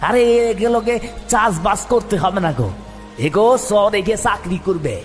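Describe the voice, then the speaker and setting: A man's voice: high voice, moderate pace, natural voice. A man playing a scornful, taunting village man with a rural Bengali dialect in an audio drama. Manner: mocking, repetitive jeering. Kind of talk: amateur audio drama acting. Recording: long reverb, music behind.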